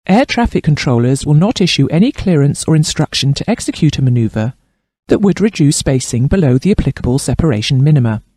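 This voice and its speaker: A man reading scripted instructional narration as a training narrator, in a formal, measured and precise manner. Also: a little high, expressive, dark tone, no room sound, clean studio sound.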